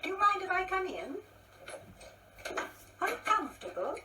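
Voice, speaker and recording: A woman playing a genteel older married woman in a radio play, with a theatrical, halting, wistful delivery. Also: extremely high pitch, big pitch swings, sharp tone, exaggerated voice. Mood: chatty, wistful. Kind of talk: radio play monologue acting. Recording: distant mic with faint background noise.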